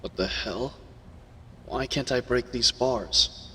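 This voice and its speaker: A young werewolf hero, acted by a man for an audio roleplay, speaks in a gruff, brooding way. He is a defiant, wounded captive. The voice has a forceful edge at a middling pitch, with faint noise behind it. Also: slow, big-room sound. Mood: frustrated, dazed.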